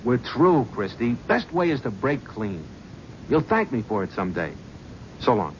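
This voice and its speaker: An actor playing a cynical young talent-agency gofer in a 1970s radio drama, narrating and talking in a brusque, flippant, wisecracking way. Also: male voice, medium pitch, sing-song, normal speed, natural voice. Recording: muffled old radio broadcast, faint hiss.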